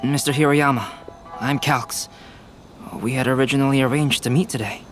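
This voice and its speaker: An actor playing a calm, analytical young scholar with deliberate, precise phrasing in a video game. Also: male voice, mid-range voice, faint music behind, close to an everyday voice. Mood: composed, thoughtful. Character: Calx, composed, scholarly, analytical young man. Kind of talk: game dialogue.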